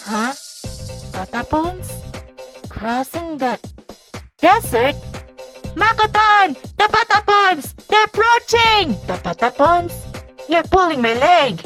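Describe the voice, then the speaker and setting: A woman voicing an alarmed, excitable creature on lookout for a desert tribe in a cartoon comic dub. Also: very high-pitched, slow pace, long pauses, soft background music, exaggerated voice. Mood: startled, panicked.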